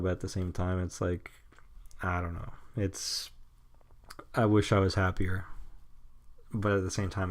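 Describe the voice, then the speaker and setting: A man's voice: low-pitched, a little mellow. A man of twenty-five hosting a solo podcast, rambling through blunt, cynical opinions with many restarts, swearing and nervous chuckles. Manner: halting, full of restarts and nervous laughs. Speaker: podcast host.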